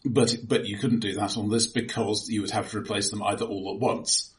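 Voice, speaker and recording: A man with a slightly low voice chats about films as a co-host. His manner is analytical and conversational, with hesitant restarts as he works through a point. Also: fairly even intonation, brisk. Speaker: podcast co-host reviewing films. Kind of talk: casual film discussion.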